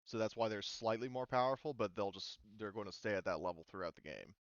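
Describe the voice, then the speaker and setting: A man's voice: lowish voice, quick pace, clear, resonant tone, no room sound, dull audio. A man talking informally as game master, discussing rules with his group and telling stories with casual swearing. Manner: informal, swearing, animated storytelling. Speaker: game master running a group planning session.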